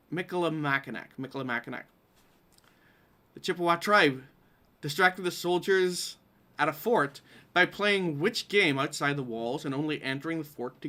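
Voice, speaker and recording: A man's voice: fairly high pitch, pushed and bright. A man with a Derry, Northern Irish accent hosting a live-streamed quiz, reading questions aloud in an informal way with wry asides. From Derry, Northern Ireland. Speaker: quiz host.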